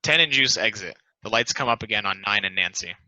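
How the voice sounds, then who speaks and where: Bright, crisp-toned man reading stage directions. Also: lowish voice, expressive, brisk, no echo, poor audio. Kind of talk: scripted narration.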